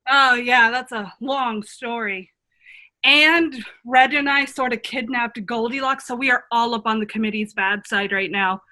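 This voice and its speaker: Excitable young fairy-tale runaway played by a woman: very high, forceful, gushing chatterbox for a radio play. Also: measured pace. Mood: nervous, rambling. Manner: rambling, gushing.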